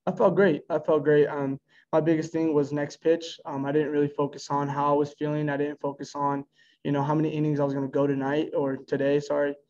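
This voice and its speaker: An American man in his early twenties, a college pitcher answering reporters after a game on a video call. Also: little pitch movement, a little fast, darkish tone. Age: early 20s. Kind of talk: post-game press conference answers. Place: indoor press room before a backdrop. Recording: dull, lo-fi video call audio.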